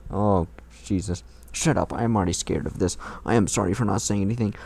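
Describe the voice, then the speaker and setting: A man's voice: fairly low pitch, a little fast, vocal fry, a little mellow. A man reading fan fiction aloud in a mocking, irreverent way, tossing in crude comments of his own.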